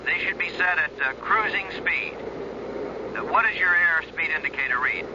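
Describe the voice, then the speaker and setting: A patient colonel acted by a man talks a novice through flying. His voice sits fairly high, reassuring and a little halting, in radio drama style. The sound is dull, with a clear aircraft drone underneath. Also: noisy background, natural voice. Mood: patiently instructing. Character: calm, coaxing air force colonel guiding a novice pilot. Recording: muffled radio recording, aircraft drone behind.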